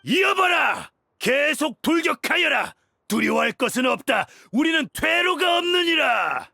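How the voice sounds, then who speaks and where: An actor playing a proud, fanatical desert cult commander in a game, with grandiose, archaic, commanding speech. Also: male voice, sits high, wildly swooping pitch, measured pace, creaky, bright, forceful tone, husky, dry recording, clean studio sound, full character voice. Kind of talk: archaic, theatrical game villain acting.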